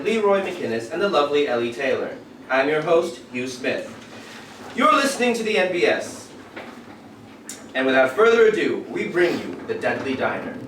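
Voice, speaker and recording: A man's voice: fairly high pitch, a bit bright. A man playing a showy old-time radio show host, announcing theatrically in a stage play. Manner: theatrical, grandly presentational. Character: smooth showy male 1940s-style radio show announcer. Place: indoor theater stage, picked up from afar. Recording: roomy distant stage recording, faint noise.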